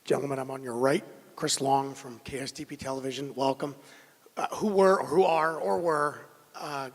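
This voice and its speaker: A male TV reporter of medium pitch asks a question, rewording himself along the way. His voice echoes around the press conference hall. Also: fairly even intonation, normal speed, gravelly. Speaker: television reporter. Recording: reverberant hall, audience microphone.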